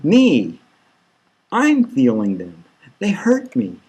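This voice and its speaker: A man reading a novel as a desperate, anguished male patient, plaintive and halting. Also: medium pitch, huge pitch swings, warm tone, breathy edge, exaggerated voice. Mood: distressed.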